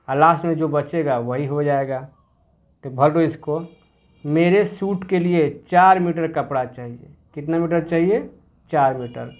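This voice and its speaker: A man teaching a grammar workbook to young children, asking questions and answering them himself as he repeats the key words. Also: fairly high pitch. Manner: mixes English words into the explanation.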